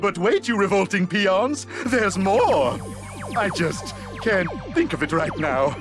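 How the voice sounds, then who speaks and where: A man voicing a pompous, scornful mad-scientist villain, giving a grandiose showman's infomercial pitch in a cartoon game. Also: very animated, full character voice. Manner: grandiose, drawn-out showman delivery. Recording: music behind the voice.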